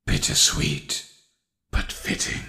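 Sinister game villain: rotted animatronic, smug and theatrical, slow, forceful, deeply gravelly. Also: male voice. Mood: smug, intrigued. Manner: theatrical, deliberate, articulate. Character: sinister, decayed undead animatronic villain, male, smugly calculating.

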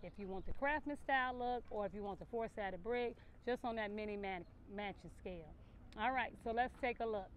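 A middle-aged woman with a Southern American accent, a real estate agent talking straight to camera outdoors at a building site in an upbeat, direct sales-pitch way. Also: a little fast. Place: outdoors at a housing construction site. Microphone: camera facing her at close range.

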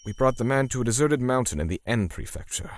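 A man playing a cold, righteous torturer for hire who narrates a manga dub in a measured, grim way. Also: medium pitch, expressive, dry recording, lightly acted. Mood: grim, matter-of-fact.

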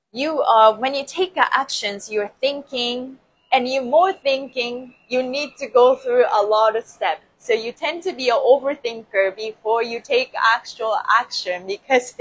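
A woman in her forties with a Japanese accent talks loosely and warmly to her livestream viewers, laughing often and circling back over her phrases. Her voice sits a touch high, picked up close by a clip-on lapel mic in a room. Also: slightly rough, rough recording. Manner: chatty, laughs often, repeats phrases, gestures with her hands. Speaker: dance and movement coach. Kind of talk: casual livestream talk to viewers. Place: indoor room with plants behind. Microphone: lapel mic clipped to shirt.

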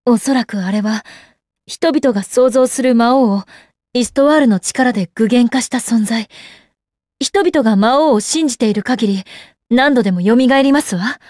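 A woman's voice: fairly high pitch, moderate pace, darkish tone, no room sound, clean studio sound, close to an everyday voice. An actress playing a proud, composed young goddess warrior in a game, with refined, ladylike and formal speech. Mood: resolute. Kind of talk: Japanese anime game character acting. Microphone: close dry studio microphone.

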